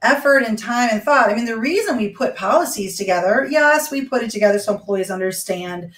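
A woman's voice: on the high side, lively intonation, brisk. A woman lawyer co-hosting a video call discussion, laying out a legal scenario and asking questions. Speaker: co-host, lawyer. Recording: echoey room on video call.

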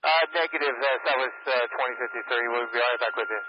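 A man speaking as an airline pilot over the radio to air traffic control, calm and brief with hesitant fillers. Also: medium pitch, fast. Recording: noisy lo-fi radio transmission.

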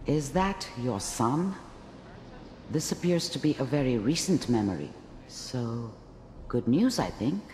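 An actor playing a gentle doctor in a video game, speaking in a hesitant, apologetic way. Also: male voice, mid-range voice, big pitch swings, measured pace. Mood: sympathetic, tentative. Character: gentle, hesitant doctor guiding someone through memories. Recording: reverberant hall, faint noise.

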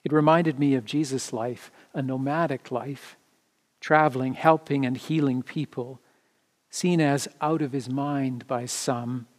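A middle-aged or older man, a pastor, reads a sermon in measured, deliberate phrases inside a church. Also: medium pitch, steady tone. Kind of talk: sermon read from a script. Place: indoor church sanctuary, standing before the altar.

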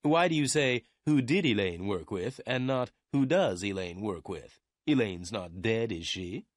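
A man's voice: mid-range voice, animated, normal speed, dry recording, clean studio sound, lightly acted. A man voicing a polite, fussy middle-aged lawyer who is formal and easily offended, in an audiobook crime story. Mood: indignant. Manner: formal, prim, indignant when pressed.

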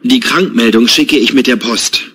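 A man's voice: mid-range voice, normal speed, bright, forceful tone, natural voice. Man as sick employee on the phone, polite, formal, faint noise. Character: sick male employee calling in.

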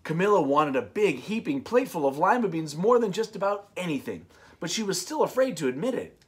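A man in his thirties with a fairly high voice and very lively intonation. He co-hosts a read-aloud, with expressive storytelling and relaxed chat. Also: fairly quick. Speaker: co-host of a read-aloud show. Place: small room indoors, on a couch.

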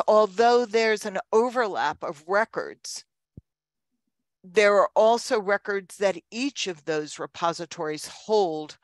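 An older woman answering questions as a guest lecturer, explaining thoughtfully and haltingly in an informal talk. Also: mid-range voice, clean tone, dry recording. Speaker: guest lecturer, genealogy researcher. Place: indoors on a video call. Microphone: headset microphone.

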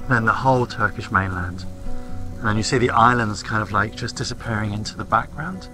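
A man's voice: on the low side, brisk, music in the background. A man in his forties chatting casually to a handheld camera aboard a catamaran, with wry, rambling asides. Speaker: sailing vlogger. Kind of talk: casual vlog narration. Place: aboard a catamaran, outdoors and in the cockpit. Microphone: handheld camera close to face.

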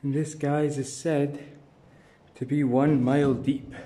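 A young man vlogging by a rocky shore and in a sea cave, talking into a handheld selfie camera, shifting between dramatic hushed storytelling and loud exclamations. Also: fairly high pitch, little pitch movement, slow. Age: young adult. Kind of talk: excitable travel vlog.

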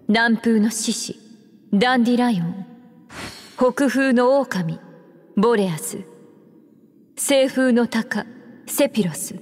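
A woman's voice: on the high side, darkish tone, slight background noise. Composed, alluring witch, echoing. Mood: stately. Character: composed, alluring, knowing adult woman witch librarian. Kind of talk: Japanese anime game dialogue, explaining lore. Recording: long hall reverb.

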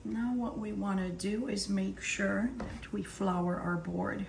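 A woman with a hoarse, partly lost voice, half whispering as she calmly talks through a cooking demonstration in her home kitchen. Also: mid-range voice, takes it slowly, echoey room, slight background noise. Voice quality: whispery, breathy. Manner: calm step-by-step instructions. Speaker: home cook.

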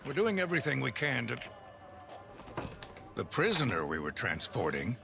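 A man's voice: medium pitch, wildly swooping pitch, moderate pace, vocal fry, background noise. An actor playing a weary older male police commissioner in a video game.

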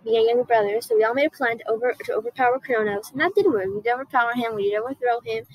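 Husky, halting goddess queen, played by a young girl. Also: on the high side, lightly acted, low-quality recording. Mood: recounting, flustered. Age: child or young teen student. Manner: halting, repeating phrases, emphatic. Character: indignant, vengeful Greek goddess queen, wife of Zeus. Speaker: student performer. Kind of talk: costumed first-person school monologue. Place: outdoors under a wooden canopy.

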